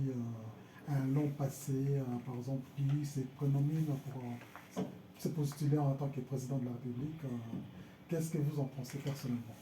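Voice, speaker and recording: A man's voice: medium pitch, warm tone. A man interviewing a guest, asking questions hesitantly with many fillers. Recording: echoey room with faint noise.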